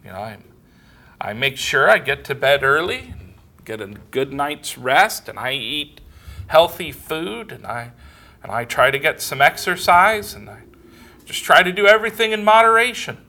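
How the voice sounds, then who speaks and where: A man voicing a soft, halting old man. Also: fairly high pitch, expressive. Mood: mild. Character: mild elderly man, modest and hesitant.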